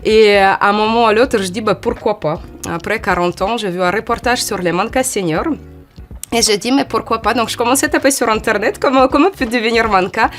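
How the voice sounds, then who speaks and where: Une femme d'une quarantaine d'années, d'origine ukrainienne, avec un ukrainien, qui répond à une interview sur un ton décontracté et hésitant. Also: female voice, on the high side, brisk. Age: 40s. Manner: hesitant, many "euh" fillers, lively when acting out quotes. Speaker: model, interview guest. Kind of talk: casual interview answers.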